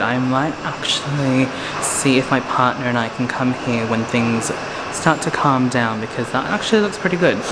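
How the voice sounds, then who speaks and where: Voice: mid-range voice, heavy background noise. A young adult vlogger giving a chatty, rambling walking tour, filmed selfie-style at arm's length while strolling through streets and shopping centres. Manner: chatty, rambling, with fillers and asides. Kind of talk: casual vlog narration. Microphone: handheld selfie camera at arm's length.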